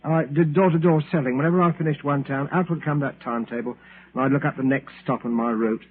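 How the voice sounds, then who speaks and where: A man's voice: on the high side, fairly even intonation, fast, close to an everyday voice. An actor playing a meek, forgetful failed salesman in a 1940s radio drama, hesitant and apologetic. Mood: bitter, agitated. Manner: timid, apologetic, hesitant, deferential. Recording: tinny, hissy old radio broadcast.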